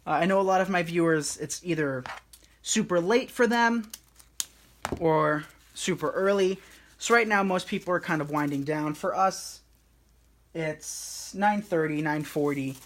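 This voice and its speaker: A man hosting a live craft tutorial, talking viewers through each step in a soothing, relaxed and unhurried way. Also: on the high side.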